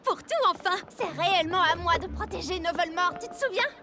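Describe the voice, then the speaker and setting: Very high-pitched, bossy cartoon heroine. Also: female voice, animated, brisk. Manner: assertive, exclamatory. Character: confident, bossy young heroine of elite guard. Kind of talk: animated children's cartoon dubbing. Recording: music behind the voice. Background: music.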